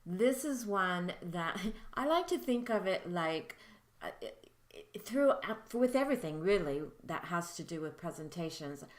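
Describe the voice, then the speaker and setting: A soft-spoken woman giving a friendly, chatty lesson to camera as a teacher of mediumship. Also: lowish voice, reverberant. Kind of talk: casual instructional talk to camera.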